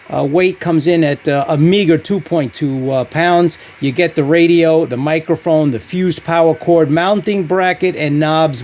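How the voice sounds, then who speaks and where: A man hosting a podcast-style product review, speaking in short, halting phrases. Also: on the high side, a little mellow. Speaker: podcast host reviewing CB radios.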